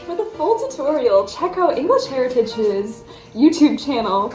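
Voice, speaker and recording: A woman's voice: high-pitched, sing-song, normal speed, darkish tone. A young woman presenting a step-by-step tutorial. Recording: echoey room with faint music. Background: faint background music.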